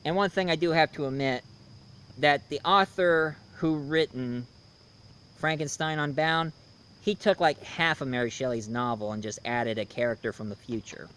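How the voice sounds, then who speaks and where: A man in his thirties giving a casual, unscripted review as the host, haltingly, often searching for words and repeating himself. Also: fairly high pitch, fairly even intonation, a bit bright, clear, resonant tone.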